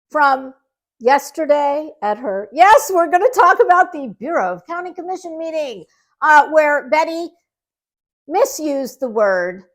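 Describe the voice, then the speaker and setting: A woman with a notably high-pitched voice talks at an unhurried, slow pace. She delivers casual online commentary with a mocking, sarcastic edge. Her manner is blunt and emphatic, and she is not shy about swearing. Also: fairly bright tone. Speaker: commentary channel creator.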